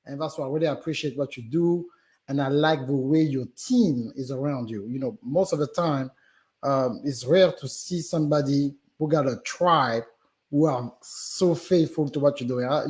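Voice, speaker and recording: A man's voice: fairly high pitch, normal speed, a little mellow. A man with a French accent, a business guest on a live stream interview, talking animatedly with many fillers and restarts. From Gabon.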